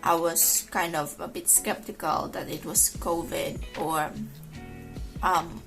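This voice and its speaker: A young woman, an ICU nurse, talking slowly and hesitantly from her couch at home, her voice rough from a dry cough. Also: fairly low pitch, flat delivery, a bit bright, faint music behind, poor audio. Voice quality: rough, hoarse-edged voice. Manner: slow, hesitant, many ums and restarts. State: recovering from illness, dry cough. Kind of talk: casual vlog monologue.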